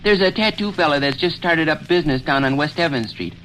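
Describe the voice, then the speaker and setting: A man's voice: fairly high pitch, a little fast, fairly bright tone, a little hiss or hum, natural voice, rough recording. An actor playing an eager, boastful teenage boy, earnest and self-important, in 1930s radio comedy style with folksy small-town talk. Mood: enthusiastic.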